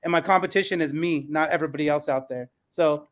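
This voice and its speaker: A man with a distinctly high speaking pitch moderates a panel discussion as host. He affirms what his guests say and speaks in an encouraging manner. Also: fast. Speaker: podcast host and school teacher.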